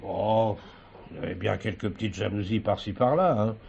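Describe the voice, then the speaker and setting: An actor playing a talkative, good-natured theatre canteen keeper in a French stage play, colloquial and exclamatory. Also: male voice, fairly low pitch, normal speed, gravelly, a little mellow, slightly rough. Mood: gossiping. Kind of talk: French stage comedy-mystery acting. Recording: slightly dull, old stage-play recording.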